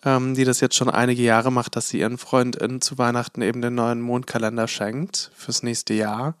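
A man's voice: medium pitch, little pitch movement, moderate pace, clear, resonant tone, no room sound. A man co-hosting a podcast, talking in loose, rambling sentences full of hedges. His voice sits close and dry on the mic with no room sound. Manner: rambling, many fillers and hedges. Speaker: podcast co-host. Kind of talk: casual chat.

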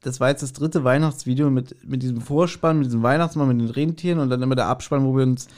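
A man's voice: mid-range voice, steady tone, fast talker, a little mellow. A man chatting casually as a podcast co-host, wry and teasing. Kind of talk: casual chat.

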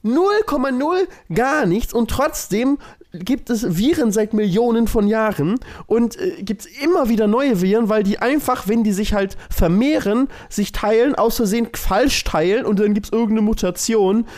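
A man. He talks casually as a podcast co-host, musing out loud and hammering points home by repeating himself. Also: high-pitched, animated, normal speed. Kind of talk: casual chat.

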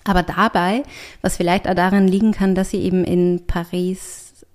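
A woman's voice: fairly low pitch, little pitch movement, normal speed. A woman writer talking in an interview, thoughtful and searching for words, with frequent hesitations. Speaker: writer and artist, interview guest. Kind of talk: reflective conversational interview.

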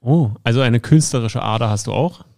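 A man hosting a sports team podcast, asking casual, quick follow-up questions in an interview. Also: mid-range voice, gravelly, a little mellow, dry recording, clean studio sound. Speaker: podcast host.

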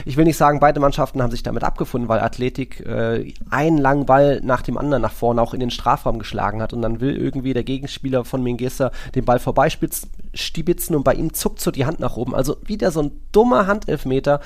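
A man co-hosting a football podcast, talking casually in a rambling, colloquial and animated way. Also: medium pitch, quick pace, hardly any pauses. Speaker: podcast host.

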